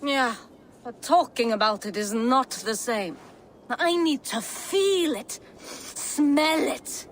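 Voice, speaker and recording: A woman playing a bold, lusty Viking wife in a video game, eager for excitement. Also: high-pitched, takes it slowly, a little hiss or hum. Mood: eager, seductive.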